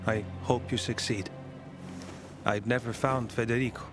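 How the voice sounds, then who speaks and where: An actor playing a curious, soft-hearted young son in a video game, speaking earnestly and a little hesitantly. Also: male voice, mid-range voice, fairly even intonation, normal speed, music in the background, close to an everyday voice. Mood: wistful. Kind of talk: naturalistic video game dialogue.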